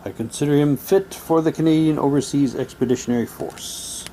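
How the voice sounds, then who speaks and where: A man's voice: a little high, brisk, dark tone. Casual man musing over noisy background. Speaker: reviewer showing mail-order radio drama props. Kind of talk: casual unboxing commentary, reading documents aloud. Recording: clear background noise, room ambience.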